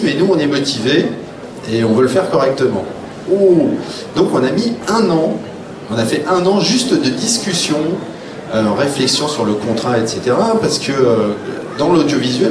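A man of average pitch talks informally as a panel guest, blunt and wry. He tells anecdotes and voices other people's remarks. The live recording is roomy, with clear noise around him. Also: far from the mic. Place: public round table in a room.

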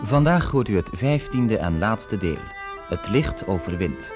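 Male radio drama announcer. Formal, fairly deep, clear orchestral backing with brass and strings. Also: animated, a little slow. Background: loud orchestral music with brass and strings.

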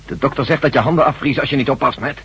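An impatient army general in a radio drama. His voice is very husky and he rattles out his words urgently. The recording is dull. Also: medium pitch, very fast, a bit bright. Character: impatient male army general relaying messages.

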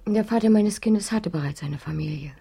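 An actress playing a reserved, quietly thoughtful young single mother in a German radio drama, with a breathy voice. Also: medium pitch, brisk. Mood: subdued, candid. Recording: music behind, 1970s radio play.

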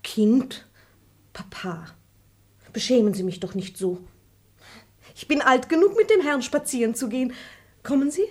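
A pert eager young daughter. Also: female voice, high voice, lively intonation, unhurried, long pauses, a little mellow. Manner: coaxing, pert. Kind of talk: radio play acting.

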